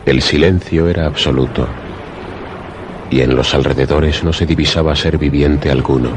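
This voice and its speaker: A man narrating a suspense radio drama in a measured, literary storytelling manner. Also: rumbling low, steady tone, background noise. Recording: prominent music behind the voice. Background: music.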